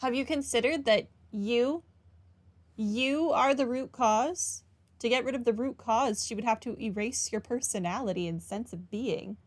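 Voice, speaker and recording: A woman's voice: on the high side, measured pace. A woman giving casual, sarcastic, skeptical commentary as she plays a game. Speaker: let's-play commentator. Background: game music.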